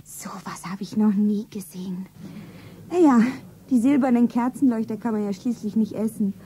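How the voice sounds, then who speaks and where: A woman's voice: mid-range voice, normal speed, dark tone, lightly acted. An actress playing a naive, cheeky young shop girl in a girlish, artless manner in a radio play. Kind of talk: 1970s German radio play acting. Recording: noisy 1970s radio play recording.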